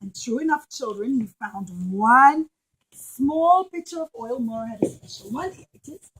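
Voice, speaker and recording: High voiced lady doing storytime for little kids, emphatic, repeats herself a lot. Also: expressive, measured pace, husky, rough recording. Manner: emphatic, repeats phrases for children. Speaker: children's storyteller and puppet show presenter. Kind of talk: animated storytelling to young children.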